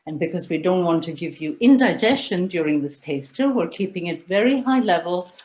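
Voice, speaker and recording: Low-voiced woman presenting a webinar. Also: expressive, a little fast, a little mellow, highs cut off. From India. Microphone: video call.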